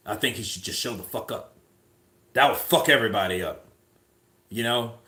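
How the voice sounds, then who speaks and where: A man hosting a boxing livestream, chatting casually in a rambling, profane, animated way. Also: mid-range voice, echoey room.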